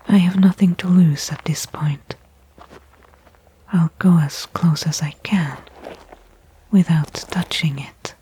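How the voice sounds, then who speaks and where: A woman playing a weary stranded astronaut in a whispered ASMR sci-fi roleplay, breathy and hushed, thinking aloud with pauses between thoughts. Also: deep voice, a little hiss or hum, poor audio. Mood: wary. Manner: slow, soliloquizing, pausing between thoughts. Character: weary stranded female astronaut, anxious, faintly hopeful.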